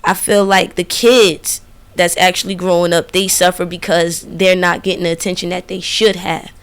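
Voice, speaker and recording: A woman's voice: lowish voice, little pitch movement, moderate pace, clear, resonant tone. A woman radio host in casual conversation, speaking in an earnest, emphatic, colloquial way. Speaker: radio DJ and interviewer.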